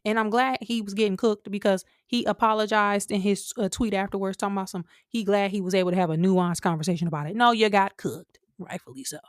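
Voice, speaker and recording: A woman's voice: mid-range voice, steady tone, fast talker, few pauses, dry recording, clean studio sound. An American woman hosting a podcast, giving casual, opinionated commentary in an emphatic, colloquial way, speaking straight to her listeners. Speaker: podcast host.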